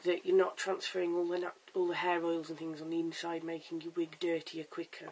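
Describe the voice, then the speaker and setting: A hoarse, croaky-voiced vlogger giving a casual at-home wig tutorial in a chatty, stop-start way, filmed on a camera's own mic. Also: medium pitch, monotone, brisk, darkish tone, slight background noise, low-quality recording. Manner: chatty, stop-start with fillers and self-corrections. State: hoarse, lost voice. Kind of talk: casual how-to demonstration.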